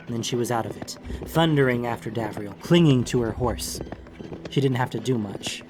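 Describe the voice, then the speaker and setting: Male audiobook narrator, mid-pitched, dramatic scripted fantasy read, clear music bed. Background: background music.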